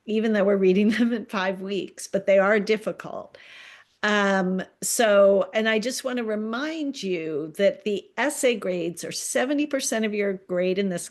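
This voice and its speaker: A middle-aged woman instructor giving earnest advice to her students, pausing often with ums. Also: medium pitch. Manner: earnest, many filler ums. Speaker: college instructor. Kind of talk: recorded class talk to students.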